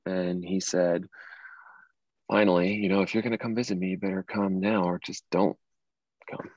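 Guy acting a deep voiced late 30s man in a drama read, earnest, grieving, chatty, bit muffled recording. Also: flat delivery, moderate pace, darkish tone, no room sound. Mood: earnest, sorrowful. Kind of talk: table read of a dramatic script.

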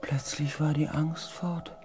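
A man playing a shaken, earnest expedition pilot in a science fiction radio play, with weighty, hushed dramatic delivery. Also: a little high, little pitch movement, takes it slowly, whispered, music in the background. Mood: hushed, tense.

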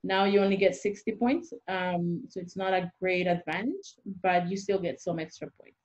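A woman's voice: lowish voice, normal speed, a little mellow. Young woman explaining over a muffled call. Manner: explains step by step with worked examples. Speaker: immigration consultant. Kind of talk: expert interview over video call. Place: small room indoors. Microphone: video call webcam. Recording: dull lo-fi video-call audio.

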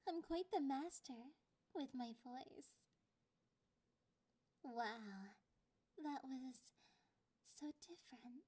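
A woman voicing a clingy, possessive catgirl girlfriend in an ASMR roleplay, with a playful, condescending and drawn-out delivery. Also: sits high, mellow. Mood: soft, affectionate.

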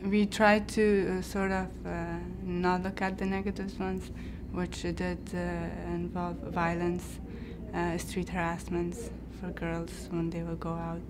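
A young woman gives careful, hesitant interview answers. Her lowish voice stays quite flat, and there is clear noise in the background. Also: takes it slowly. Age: young adult. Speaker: university student interviewee. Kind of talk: earnest documentary interview. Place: indoors by a large window. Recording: noisy room ambience.

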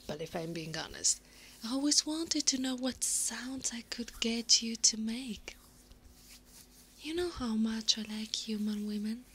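Soft whispering centaur woman, acted by a woman, teasing. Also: on the high side, lively intonation, unhurried, slight background noise. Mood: playful, teasing. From Italy. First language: Italian. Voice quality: breathy, often whispering. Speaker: ASMR roleplay performer. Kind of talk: ASMR roleplay.

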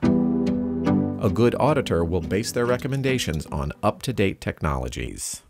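A man's voice: on the low side, very animated, unhurried. A man reading scripted narration for an informational video. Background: clear background music.

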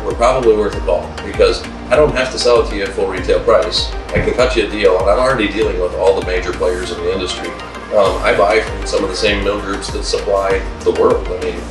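A man's voice: low-pitched, distant mic. A middle-aged man who owns a lumber business, speaking plainly with lots of "um" fillers in an interview inside a wood-paneled room. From Wisconsin, USA. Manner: plain-spoken, frequent "um" fillers. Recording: roomy sound from off-mic with music behind.

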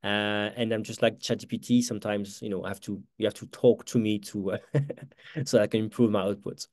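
Host: man, 40s, French accent, talks fast and loose, restarts sentences, laptop mic. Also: fairly low pitch, fairly even intonation, a little mellow. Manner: fast, chatty, self-correcting, with quick laughs. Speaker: workshop host. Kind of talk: live online workshop with screen share.

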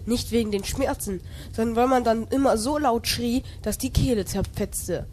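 A man's voice: very high voice, normal speed, darkish tone, slight background noise. A young man narrating an amateur parody audio drama in a dramatic storytelling manner.

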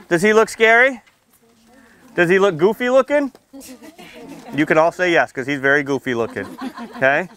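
High-pitched, clear-voiced man talking to kids. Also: a bit bright. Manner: asks questions, checks in often with "okay" and "right"; playful teasing. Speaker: firefighter presenting to children. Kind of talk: simple interactive safety talk for kids. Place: outdoors under a tent canopy beside a building, small seated audience.

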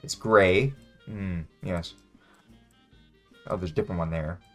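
A man's voice: sits low, steady tone, unhurried, a bit bright, faint music behind. A man in his twenties playing a game and thinking aloud in a hesitant, musing, casual way. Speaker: guest player, beginner. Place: small home room indoors.

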